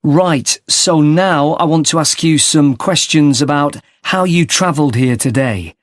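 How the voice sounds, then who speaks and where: A man's voice: fairly high pitch, measured pace, no room sound, clean studio sound, close to an everyday voice. A man playing a polite, sympathetic street interviewer asking transport survey questions in a scripted listening-test dialogue. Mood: friendly.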